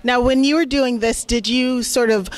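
A woman's voice: on the high side, steady tone, moderate pace. A woman interviewing an actor on a convention press line, asking eager, complimentary questions into a handheld mic. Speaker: entertainment interviewer.

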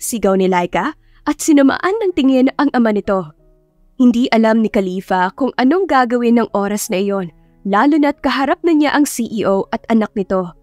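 A Filipino woman narrates a dramatic audiobook in a fairly high voice. The sound is dry, with faint music underneath. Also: animated, moderate pace, darkish tone, dry recording. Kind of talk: scripted dramatic storytelling.

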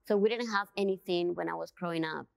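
Woman, 30s, calm guest speaker, dead-dry close sound. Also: lowish voice, fast talker, vocal fry, no room sound. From Cuba. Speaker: panel guest artist. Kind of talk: panel conversation.

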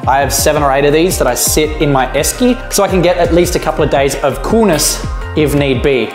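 Aussie guy in his 30s doing fishing tips, bit high voice, roomy garage sound w faint music. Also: fairly quick. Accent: Australian. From Australia. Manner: upbeat, talks with his hands. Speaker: fishing channel host. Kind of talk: fishing tips vlog to camera.

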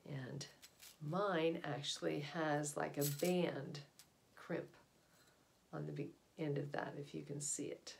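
A middle-aged American woman reads aloud in a somewhat low voice. She presents like a storyteller for children, turning often to the listener with small asides and questions. It is recorded indoors at home, a short distance from the device's microphone. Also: fairly even intonation, slow, gravelly, a little hiss or hum.

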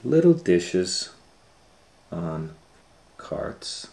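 A middle-aged man reading a picture book aloud to children in a relaxed, chatty way, sometimes dropping to a near whisper, recorded on a close camera mic at home. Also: low voice, extremely slow. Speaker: children's storytime reader. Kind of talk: casual read-aloud for kids.

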